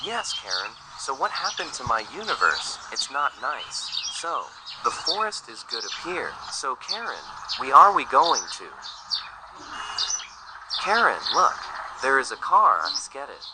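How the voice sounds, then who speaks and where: A man's voice: medium pitch, very animated, measured pace. Male travel companion acted by a man, stilted wording, swinging intonation, chirping birds and noise behind. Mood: plain, uneasy. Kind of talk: amateur online scenario dialogue. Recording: noisy track with bird chirps.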